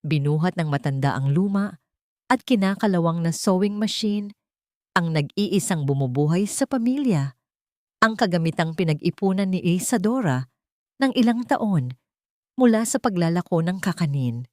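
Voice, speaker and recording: Female audiobook narrator, slightly low register, scripted dramatic storytelling, very dry sound. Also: expressive, normal speed, darkish tone, no room sound.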